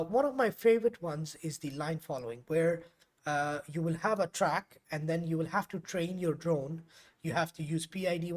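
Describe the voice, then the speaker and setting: Chatty man, native Urdu speaker. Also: a little high. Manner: chatty and rambling, with frequent fillers and self-corrections. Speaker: host of a live coding stream. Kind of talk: casual live-stream talk to viewers.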